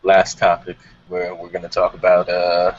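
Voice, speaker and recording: Male talk-show host, very low register, casual panel chat, muffled recording with faint noise. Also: monotone, moderate pace. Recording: dull audio with background noise.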